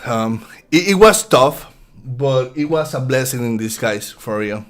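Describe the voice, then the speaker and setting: A man in his thirties from Puerto Rico, with a Puerto Rican accent, talking in a halting way with many restarts while trading on a live stream. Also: mid-range voice, expressive. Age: 30s. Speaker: futures trader guest.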